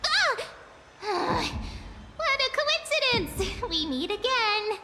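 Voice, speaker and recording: A woman's voice: very high voice, very animated, slow, very noisy, full character voice. A woman voicing a small, cute, girlish fairy creature in a video game, playful, coy and theatrical. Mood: startled, coy. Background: background music.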